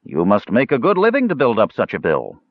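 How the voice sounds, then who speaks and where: Guy doing a wary portly innkeeper in an audiobook, kinda pointed, lively pitch, slightly dull sound. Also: a little fast, no room sound. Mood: awed, pointed. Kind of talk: audiobook character voice.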